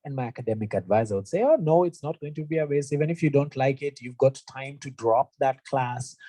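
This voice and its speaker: A young man from Kenya speaks to prospective students in an earnest, conversational way. His voice is warm and mellow at a middle pitch. The sound is dry and close. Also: no room sound. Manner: earnest, conversational, frequent fillers. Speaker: university representative. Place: room presentation, also streamed online.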